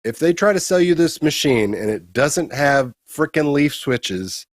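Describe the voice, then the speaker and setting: A man's voice: on the high side, expressive, measured pace, no echo. Blunt man talking games, dry close sound. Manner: blunt, emphatic, mildly crude slang. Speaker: co-host of a retro gaming show. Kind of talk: opinionated casual gaming discussion.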